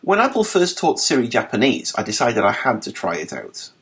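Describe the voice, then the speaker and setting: A man narrating a written article for a podcast, reading with a lively, articulate delivery and dry wit. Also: medium pitch, a little fast.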